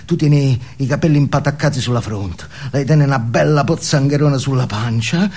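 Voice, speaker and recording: An actor playing a boastful, crude, foul-mouthed drug dealer in a comic stage monologue, rambling and jumping between confiding and shouting. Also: male voice, mid-range voice, brisk, close to an everyday voice.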